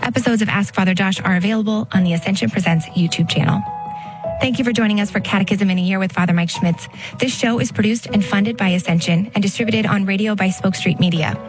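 A woman announcer reading a scripted closing announcement for the show in an even, read-aloud manner. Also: mid-range voice, fast. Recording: announcement over clear music. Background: music.

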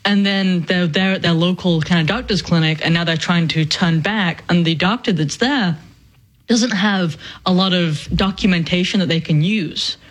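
A woman co-hosting a news show with a lower female voice, reading headlines and chatting with light laughs. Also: moderate pace, poor audio. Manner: frequent light laughs, conversational. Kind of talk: news reading and casual commentary.

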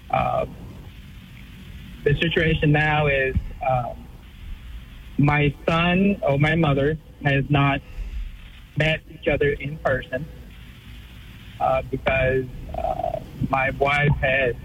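A man in his thirties from Vietnam, calling in to ask for advice, speaking haltingly with many fillers and restarts. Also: a little high, fairly even intonation, a bit bright, muffled recording, slight background noise. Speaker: caller. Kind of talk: call-in advice question.

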